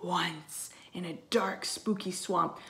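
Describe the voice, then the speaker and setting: A woman voicing a young boy babysitter who spins a spooky monster tale slowly, with hushed, suspenseful emphasis. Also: mid-range voice. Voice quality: hushed, breathy at times. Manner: slow, drawn-out, suspenseful emphasis.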